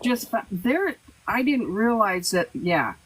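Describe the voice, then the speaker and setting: A woman's voice: mid-range voice, sing-song, slow, a bit bright, a little hiss or hum, rough recording. A woman chatting casually in a group live stream, talking in a laid-back way.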